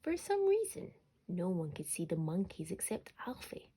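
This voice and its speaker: A woman storyteller narrating a children's bedtime story expressively, sometimes dropping to a hush. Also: lowish voice, huge pitch swings, moderate pace, husky.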